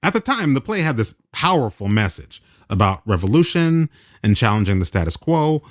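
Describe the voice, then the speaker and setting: A man's voice: medium pitch, big pitch swings, moderate pace, no echo, studio quality. An American man hosting a radio program, moving between scripted narration and interview questions. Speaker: radio host.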